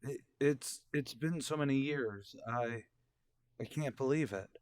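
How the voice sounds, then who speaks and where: An actor playing a male survey commander and tender long-lost lover in an audio drama, halting and emotional. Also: mid-range voice, no echo, studio quality, natural voice. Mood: stunned.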